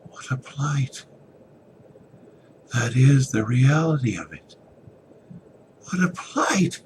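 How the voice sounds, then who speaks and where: A man voicing a tormented, helpless son in a dramatic story reading, pleading in a breathy whimper. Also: medium pitch, expressive, takes it slowly, noisy background, pitched above the performer's own voice. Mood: desperate.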